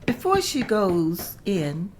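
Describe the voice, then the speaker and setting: A woman narrating the stage directions at a table read in a plain, steady way. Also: medium pitch, takes it slowly, noisy background.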